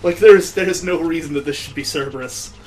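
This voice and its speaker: A man's voice: a little high, a little fast, darkish tone. A man hosting a let's play, giving casual, sarcastic commentary as he plays a video game. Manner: wry, sarcastic joking. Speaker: gaming video host playing the game. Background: video game music.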